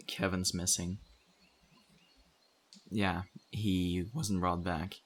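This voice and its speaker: A man acting as a weary survivor in a zombie-apocalypse audio roleplay, talking conversationally and straight to the listener as a community right-hand man. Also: deep voice. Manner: conversational, urging, mildly exasperated.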